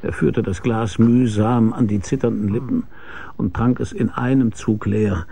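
A man's voice: on the low side, steady tone, measured pace, vocal fry, mellow. An actor narrating as a laconic detective, telling his own case with dry wit in a radio drama. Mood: matter-of-fact. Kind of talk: scripted first-person narration in radio drama.

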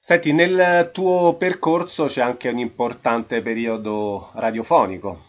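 A man's voice: medium pitch, measured pace. A man interviewing a guest casually, asking questions in a hesitant, attentive way. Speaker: radio interviewer. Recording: slightly dull, lo-fi radio audio.

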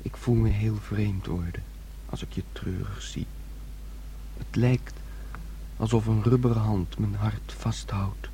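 An actor playing a naive, simple nineteen-year-old son in a radio drama, speaking in a guileless, childlike, earnest way. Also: male voice, lowish voice, steady tone, a little slow, long pauses, dark tone, slight background noise. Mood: tender, earnest.

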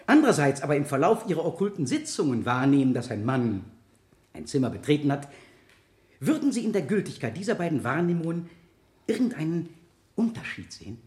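A man's voice: fairly high pitch, sing-song, fairly quick, a little mellow, reverberant. An actor playing a skeptical, incisive male lawyer, probing and rhetorical in a radio courtroom drama. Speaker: lawyer cross-examining a witness. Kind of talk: 1970 German radio play acting.

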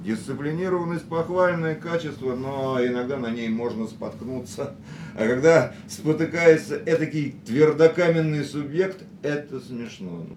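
A man's voice: moderate pace, natural voice. An actor in a radio drama playing a philosophical, risk-loving man with dramatic, declamatory phrasing. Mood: wry, persuasive. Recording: echoey radio studio, faint rumbling noise.

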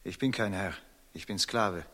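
A man's voice: low-pitched, measured pace, a bit bright. An actor in a radio drama playing a noble enslaved man, respectful, restrained and homesick, speaking formally and earnestly. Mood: earnest longing. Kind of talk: classic German radio drama acting.

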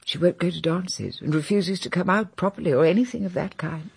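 Very husky, genteel elderly English lady. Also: on the low side, fairly quick, exaggerated voice. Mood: confiding, troubled. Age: older. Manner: genteel, rambling, confiding. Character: genteel, chatty, confiding elderly English grandmother hostess. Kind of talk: radio drama acting.

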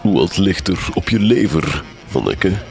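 Low florid villain doctor, flemish, very lively pitch, scheming, gothic radio play. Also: male voice, measured pace, vocal fry, soft background music, heavily acted. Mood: pompous. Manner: pompous, florid, sardonic. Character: pompous, scheming doctor, grandmaster of a secret society. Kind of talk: gothic comedy radio drama acting.

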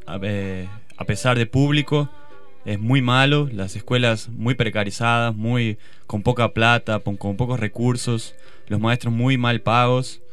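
Man from Brazil, smooth resonant voice, soft music behind. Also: mid-range voice. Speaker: student guest.

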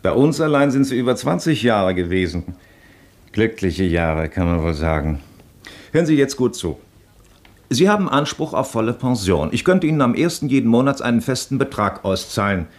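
An actor playing a courteous, scrupulous aristocratic baron in a radio play, speaking in a formal, measured and considerate way. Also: male voice, mid-range voice, big pitch swings.

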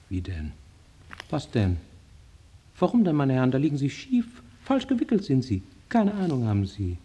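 A man's voice: medium pitch, dark tone, a little hiss or hum, lightly acted. An actor playing an agitated male psychiatric patient in a radio drama, defending himself in fragmented, insistent bursts. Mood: indignant. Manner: rambling, fragmented, insistent self-justification.

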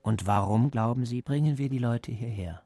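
An actor playing a coldly patient, menacing party interrogator who lectures deliberately and insistently in a radio drama. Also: male voice, medium pitch, warm tone, no echo, close to an everyday voice. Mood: patient, controlling. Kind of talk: German radio drama acting.

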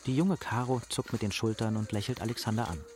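An actor playing a detached, wry narrator of a radio drama, reading measured scripted narration with street noise and a crowd behind. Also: male voice, on the low side, darkish tone, faint music behind, close to an everyday voice. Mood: detached, deadpan.

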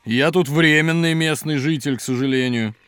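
An actor playing a hospitable, jolly geologist and cook at a polar station in a children's educational audio drama, with an animated, explanatory way of talking. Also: male voice, a little high, moderate pace, clean tone, no room sound, natural voice. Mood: hearty welcome. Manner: animated, chuckling, explanatory, hearty.

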